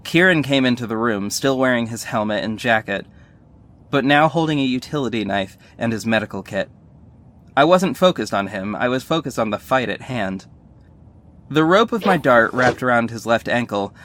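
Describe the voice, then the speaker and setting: Superhero lead for a male actor: first-person audio drama narration, medium-pitched, wry, sardonic, earnest storyteller. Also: fairly bright tone, close to an everyday voice. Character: sardonic young male superhero narrating his own fight.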